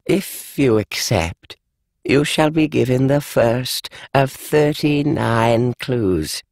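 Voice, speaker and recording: A man reading the part of an elderly grandmother addressing her family in a will video, solemn and measured. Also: medium pitch, slightly rough, voice pushed up, full character voice. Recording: dry studio audiobook.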